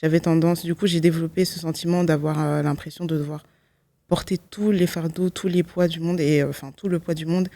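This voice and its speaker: A woman's voice: low voice, steady tone, fairly quick, dark tone, no echo. A woman, giving a personal testimony in a reflective, hesitant way, often correcting herself. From Belgium. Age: 20s. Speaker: guest, law student giving testimony.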